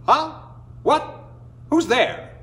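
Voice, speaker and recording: A man voicing an excitable, grumbling coyote man in a folktale, exclaiming and muttering to himself. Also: extremely high pitch, very slow, voice pushed up, exaggerated voice. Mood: surprised, friendly.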